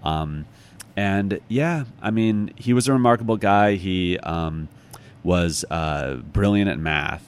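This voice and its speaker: Low-voiced, thoughtful American man. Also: takes it slowly, clean tone. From United States, Chicago. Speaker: author, interview guest. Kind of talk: reflective conversational interview.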